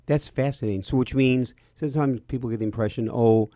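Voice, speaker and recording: A man's voice: mid-range voice, brisk, warm tone, no echo, studio quality. A middle-aged or older man, a priest chatting as a radio host and often restating his phrases. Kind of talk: conversational radio talk.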